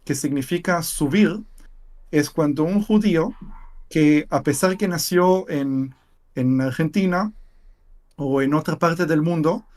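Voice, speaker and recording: Israeli guy, hebrew is his first language, talking slow and halting, lots of ums, voice a bit high. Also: darkish tone. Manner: halting, with many fillers and repeated words. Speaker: interview guest. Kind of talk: personal testimony in conversation.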